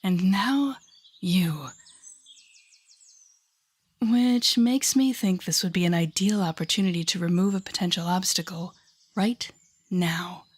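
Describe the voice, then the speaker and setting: Woman playing a husky, low-voiced sardonic villainess. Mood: taunting, menacing. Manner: mocking, theatrical, deliberate with dramatic pauses. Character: sardonic, menacing telepathic woman villain taunting a rookie hero. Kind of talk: audio roleplay acting addressed to the listener.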